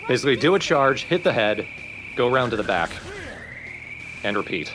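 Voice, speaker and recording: A man's voice: medium pitch, very animated, a little fast, music in the background. A man explaining a video game as he plays, casually and with a dry, jaded edge. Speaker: let's play commentator. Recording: loud game sound behind voice.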